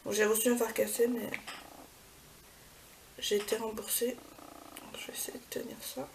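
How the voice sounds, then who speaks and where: A woman's voice: on the high side, little pitch movement, normal speed. A woman vlogging a casual beauty haul, chatty, with many fillers and self-corrections. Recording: far from the mic, clear background noise.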